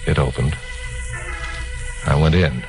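An actor playing a self-assured news commentator and amateur sleuth in a 1950s radio drama. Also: male voice, extremely low pitch, steady tone, slow pace, exaggerated voice. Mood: tense narrating. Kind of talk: 1950s radio drama acting and first-person narration. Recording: 1950s radio recording with music.

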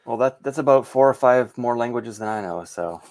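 A man hosting a casual podcast, speaking in a halting, hesitant way. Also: mid-range voice, darkish tone. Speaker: podcast host.

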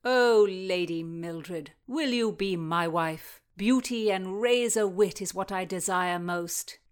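A woman's voice: lowish voice, slow pace, clear, resonant tone. A woman voicing a haughty nobleman in a historical story, pompous and flowery in his speech. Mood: flattering. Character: haughty, baiting, flattering nobleman. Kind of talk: dramatized historical fiction reading.

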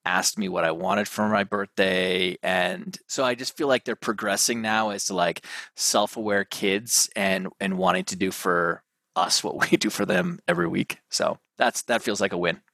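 Man, 30s, deep and forceful, dry close sound, rambling guest answers. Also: steady tone, normal speed, dry recording. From Canada. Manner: rambling, thinks aloud with restarts. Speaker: guest, mental performance coach. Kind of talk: casual interview chat.

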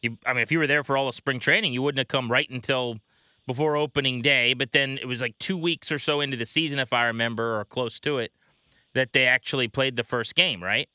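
A man's voice: medium pitch, fairly even intonation, a little fast, sharp tone, dry recording. A man hosting a sports podcast, interviewing in a casual, conversational way.

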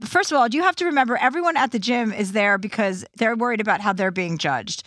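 A woman's voice: on the high side, quick pace, a bit bright, no echo, clean studio sound. A woman co-hosting an advice chat, blunt and encouraging, swearing freely as she reassures a caller. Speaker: advice show co-host.

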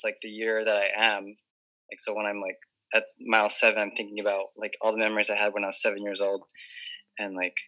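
Guest, 20s man from Hawaii, sharp, low voice, earnest on a video call. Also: rough recording. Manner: earnest and reflective, with many fillers. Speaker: podcast guest. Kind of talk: casual interview chat.

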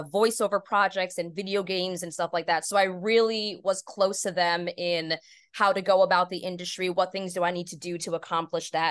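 A woman's voice: lowish voice, fairly bright tone, dry recording, clean studio sound. A woman speaking as an interview guest, giving earnest, upbeat answers in a conversational way. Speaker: podcast guest, PA announcer and voice actor.

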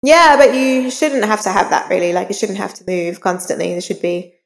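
A woman's voice: mid-range voice, a little fast, vocal fry. A woman giving informal interview answers as a guest author, thinking aloud as she talks. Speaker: crime novelist, podcast guest. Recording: reverberant hall-like echo.

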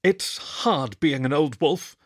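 A man's voice: fairly high pitch, slow, no room sound, studio quality. A man voicing an ailing old male wolf teacher who murmurs wearily and then growls stern commands. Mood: weary.

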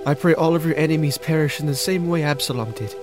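A man's voice: a little high, quick pace, natural voice. A man playing a respectful, formal messenger before his king in a dramatized audio Bible story, with music behind. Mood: solemn. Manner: formal, deferential. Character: respectful male Cushite messenger bearing battle news to king.

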